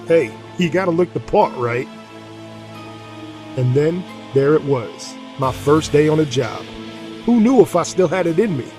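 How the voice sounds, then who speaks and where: An actor playing a brawny middle-aged gang enforcer and reformed drinker, talking in a folksy, swaggering way in an audio drama. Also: male voice, on the high side, expressive, measured pace, music in the background. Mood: wry confessional.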